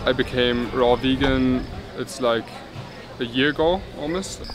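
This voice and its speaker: A man's voice: mid-range voice, measured pace, loud music behind the voice. A man in his twenties with a German accent, speaking casually in an interview. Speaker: festival attendee interviewee. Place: outdoors beside a wooden cabin. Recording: outdoor recording with crowd chatter.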